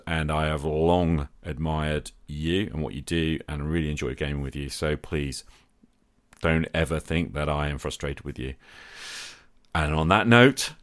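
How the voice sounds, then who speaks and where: A man's voice: extremely low pitch, no room sound. A man hosting a podcast, talking conversationally in an earnest, reflective manner. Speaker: podcast host.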